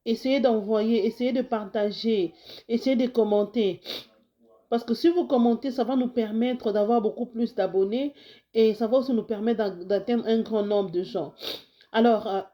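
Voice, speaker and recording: A woman's voice: a little high, steady tone, normal speed, muffled recording. A Congolese woman who has a cold, talking casually to her viewers with many restarts and urging them to share her video. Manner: warm exhortations, frequent restarts, mixes in Lingala phrases. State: slightly hoarse with a cold. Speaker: health video host. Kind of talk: casual talk to camera. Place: lives in the United States.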